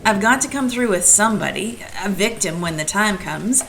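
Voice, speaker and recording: A woman's voice: mid-range voice, lively intonation, moderate pace, gravelly, fairly bright tone, a little hiss or hum, low-quality recording. A woman playing a tough, wisecracking female private detective in a community radio play, blunt and hard-boiled. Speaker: actor in community audio drama.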